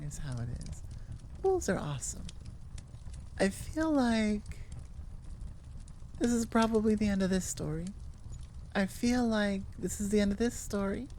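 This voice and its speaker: A woman's voice: mid-range voice, expressive, measured pace, pauses often, warm tone, a little hiss or hum. A North American woman, an audio roleplay creator, chatting casually in a playful, goofy, meandering way to her listeners. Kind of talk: casual rambling outro chat.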